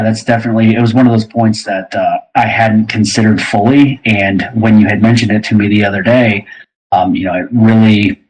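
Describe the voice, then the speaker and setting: Man, slightly deep, rough husky tone, chatty, casual panel talk. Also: low-quality recording. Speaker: podcast panelist and game player. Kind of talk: casual podcast discussion.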